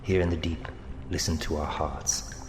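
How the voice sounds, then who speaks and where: A man's voice: a bass voice, steady tone, moderate pace. An actor playing a menacing, amorous male villain in an audio drama, speaking in a theatrical, taunting and seductive way. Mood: seductive menace. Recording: long cavernous reverb with music underneath. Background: dramatic music.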